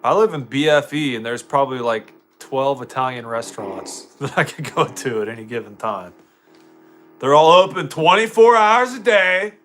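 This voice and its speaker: A man's voice: medium pitch, moderate pace, fairly bright tone, soft background music. An American man chatting casually with viewers on a live game stream, laid-back, slangy and joking.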